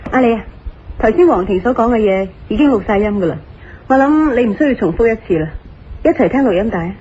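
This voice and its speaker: Tactful, slightly high-voiced wife played by a woman. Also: lively intonation, fast, warm tone, muffled recording, a little hiss or hum, lightly acted. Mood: polite. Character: tactful, astute wife, reasoning calmly. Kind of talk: Cantonese radio drama acting.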